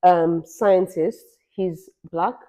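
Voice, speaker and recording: Chatty young woman from Nigeria, lowish voice. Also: fairly even intonation, very slow, dark tone. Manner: chatty, emphatic, rambling with restarts. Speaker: podcast co-host. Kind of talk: casual chat.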